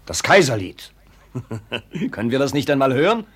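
Pompous farmer, swooping intonation. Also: male voice, mid-range voice, sing-song, moderate pace, a bit bright, slightly rough. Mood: scornful. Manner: self-important, theatrical. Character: pompous, scornful farmer hosting a family birthday. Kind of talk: 1950 radio play acting.

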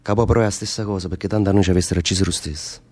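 A man's voice: deep voice, fairly even intonation, rapid-fire, a little mellow. An actor playing a brooding, fatalistic man facing death, speaking earthy, insistent dialect with a southern Italian accent in a theatre drama. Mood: musing. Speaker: stage actor in a radio theatre play.

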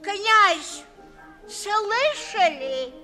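A very high, bright old woman's voice, querulous and half-deaf. She is a doddering countess in a 1950s Russian radio play, halting and calling out her questions, with faint music behind her. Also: sing-song, exaggerated voice. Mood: agitated, querulous. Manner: halting, exclaiming, asks again loudly.

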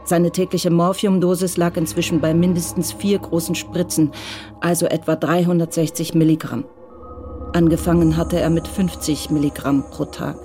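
A woman narrating a radio drama in a measured, even way. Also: lowish voice. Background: music.

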